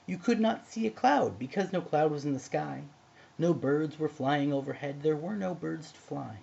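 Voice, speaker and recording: A man reading a narrative poem aloud as an expressive amateur storyteller. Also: a little high, normal speed, gravelly, dull audio. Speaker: amateur poetry reader.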